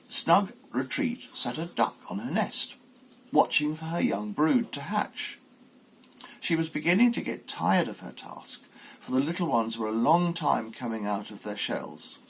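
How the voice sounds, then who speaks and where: Man reading a fairy tale, very animated pitch. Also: a little hiss or hum, rough recording. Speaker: volunteer story reader. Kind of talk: expressive fairy tale narration.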